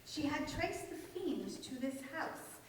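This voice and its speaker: A woman's voice: high voice, sing-song, takes it slowly, full character voice. A woman playing a bossy, neurotic, man-scorning older cousin in a staged radio play, with theatrical delivery. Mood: dramatic. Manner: theatrical, declamatory. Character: bossy, neurotic, man-hating busybody spinster-like divorcee cousin. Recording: echoey hall, distant mics, faint noise.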